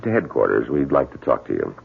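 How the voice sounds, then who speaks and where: A state police officer, firm, official and matter-of-fact. His voice is low and husky with lively swings in pitch. It has the narrow, dull sound of a 1970s radio drama. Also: exaggerated voice. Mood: polite, firm. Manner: polite, official, measured.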